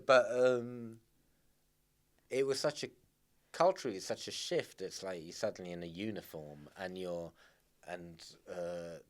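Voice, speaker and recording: Low-voiced man, halting and rambling. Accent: English. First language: Persian. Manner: rambling, halting, many restarts. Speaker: podcast guest. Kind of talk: casual conversation.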